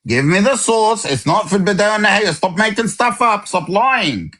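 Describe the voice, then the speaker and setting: A man's voice: sits high, animated, moderate pace, fairly bright tone. Insistent man demanding sources. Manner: talks over others, interrupts. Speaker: debater. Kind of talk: heated live-stream debate.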